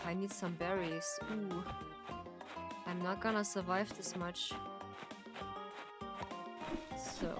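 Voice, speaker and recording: Slow-talking woman streamer from Turkey. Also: fairly low pitch. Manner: chatty, halting, thinks aloud with many restarts. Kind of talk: casual gameplay commentary. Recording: loud game music behind.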